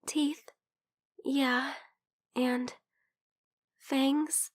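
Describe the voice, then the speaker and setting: A woman playing a gentle, teasing female vampire friend who is unwell, speaking softly and hesitantly in an ASMR roleplay. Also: high voice, level tone, drawn-out words, no room sound, clean studio sound. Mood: hesitant, confessing. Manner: soft, hesitant, playfully teasing. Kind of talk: soft-spoken ASMR roleplay.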